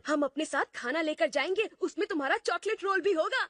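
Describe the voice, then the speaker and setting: A woman's voice: extremely high pitch, brisk, fairly bright tone, no room sound, clean studio sound. A woman voicing an eager, pleading young schoolboy in a cartoon dub, with a whiny, emphatic delivery. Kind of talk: Hindi cartoon dub acting.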